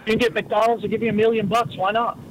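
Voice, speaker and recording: A man's voice: high-pitched, level tone, fast talker, low-quality recording. An American man, a guest in a casual radio chat, explaining in a matter-of-fact way. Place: radio studio. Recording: faint background noise.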